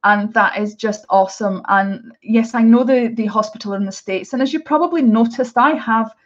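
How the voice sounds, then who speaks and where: A woman's voice: a little high, moderate pace, highs cut off. A middle-aged Scottish woman chatting casually through a live unboxing, with many hesitations and restarts. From Scotland. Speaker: art vlogger.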